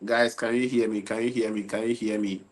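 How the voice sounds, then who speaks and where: A fairly low-voiced young man talks into a desk mic on his own livestream. He sounds tired and relaxed, repeats himself and keeps checking whether viewers can hear him. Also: brisk. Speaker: livestream football talk host. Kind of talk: casual livestream chat.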